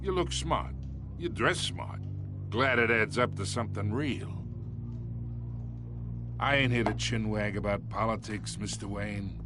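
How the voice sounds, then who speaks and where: An actor playing a menacing middle-aged crime boss in a video game, talking folksy gangster slang with a threatening edge. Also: male voice, fairly low pitch, measured pace, pauses often, music in the background, close to an everyday voice. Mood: calculating, persuasive. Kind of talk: video game dramatic acting.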